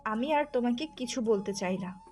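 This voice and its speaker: Accusing, high-voiced jealous wife, played by a woman. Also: expressive, normal speed, lightly acted. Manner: sharp, accusing. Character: furious, jealous, wounded wife scolding her husband. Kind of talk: audio story acting. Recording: music under the voice.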